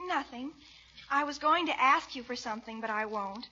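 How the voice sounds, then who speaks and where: Bright, high-voiced prim young niece. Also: female voice, moderate pace. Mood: sweet, coaxing. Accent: American. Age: young adult. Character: sweet, prim young niece and scolding big sister. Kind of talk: 1940s radio comedy acting. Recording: muffled old radio recording.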